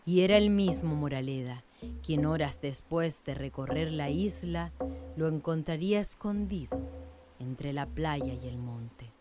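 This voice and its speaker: A woman's voice: deep voice, unhurried. A woman narrating a scripted radio drama in a literary storytelling manner. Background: music.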